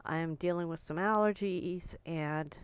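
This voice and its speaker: A woman in her forties talking casually to camera in a blunt, outspoken way, her voice a little croaky and hoarse. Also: lowish voice, a little slow, dry recording. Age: 40s. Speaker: vlogger.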